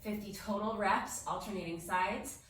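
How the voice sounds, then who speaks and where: A woman's voice: medium pitch, normal speed, fairly bright tone. Phlegmy woman counting workout reps. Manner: encouraging, counts reps aloud while exercising. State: lingering cough, phlegmy throat. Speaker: fitness instructor. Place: home room. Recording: very echoey room, distant mic, faint noise.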